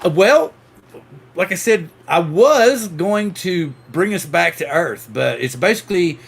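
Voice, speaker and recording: A man's voice: high-pitched, animated, a little slow, vocal fry, breathy edge. A middle-aged man hosting a casual podcast chat, rambling and jokey, laughing often. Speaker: podcast host. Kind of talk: casual chat on a livestream panel.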